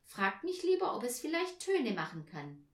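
A woman voicing an excitable, secretive little girl, eager and exclaiming, in a children's story. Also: on the high side, normal speed, pitched above the performer's own voice. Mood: earnest, impatient. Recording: roomy echo.

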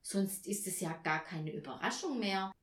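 A woman voicing a gentle, curious mother patiently humouring her child in a children's story. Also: medium pitch, animated, normal speed, close to an everyday voice. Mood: gently reasoning. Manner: patient, questioning. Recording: roomy echo.

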